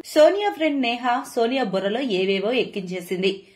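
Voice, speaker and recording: A woman's voice: on the high side, lively intonation, moderate pace, a little mellow. A woman storyteller reading a scripted moral tale as narration.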